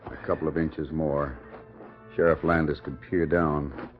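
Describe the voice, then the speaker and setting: A man's voice: very deep voice, level tone, measured pace, faint music behind. An American man narrating a 1940s radio western in a grand, theatrical scripted style. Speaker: announcer and narrator. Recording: muffled vintage 1940s radio recording.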